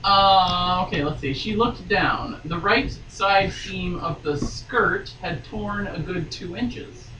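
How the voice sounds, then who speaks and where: A woman's voice: on the low side, slow pace, hardly any pauses. Echoey, distant woman reading aloud wryly. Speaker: podcast guest reading a novel aloud. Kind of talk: dramatic reading with mocking asides. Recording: roomy, distant mic, faint noise.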